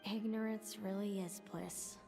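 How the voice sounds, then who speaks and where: A solemn young seer from a dramatic game, voiced by a woman, speaks at a medium pitch. She is grave, wistful and fatalistic, with measured, formal phrasing. Music is clearly heard behind her. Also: steady tone, lightly acted. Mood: wistful resignation. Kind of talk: dramatic video game voice acting.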